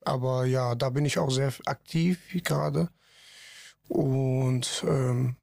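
A man from Iran, a podcast guest talking haltingly with many fillers. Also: mid-range voice, steady tone, creaky, a little mellow, no room sound, clean studio sound. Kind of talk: casual podcast interview.

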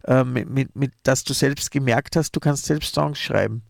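A man's voice: mid-range voice, moderate pace, creaky, no echo, studio quality. A man interviewing a singer, full of praise for her and often stammering and restarting his questions. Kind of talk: casual interview talk.

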